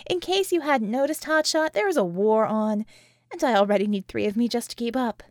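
A woman playing a sardonic, sharp-tongued, weary woman with a wry, emphatic manner in an audiobook. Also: a little high, expressive, a little fast, no room sound, clean studio sound, close to an everyday voice.

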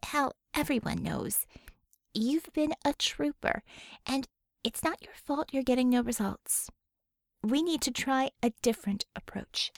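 A woman's voice: sits high, lively intonation, a little slow, creaky, no room sound, heavily acted. A woman voicing a wry, motherly female medic boss in an audiobook, informal and salty, reassuring yet bossy. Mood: reassuring, brisk.

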